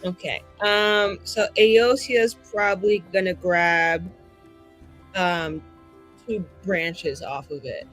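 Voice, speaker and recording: A woman narrating her character's actions casually and haltingly as a player in a tabletop roleplaying game. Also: on the low side, steady tone, soft background music.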